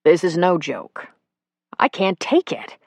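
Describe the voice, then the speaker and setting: A woman's voice: lowish voice, big pitch swings, slow pace, vocal fry, a little mellow, no echo, full character voice. A woman reading the part of a fed-up middle-aged husband who is walking out, blunt and exasperated, swearing.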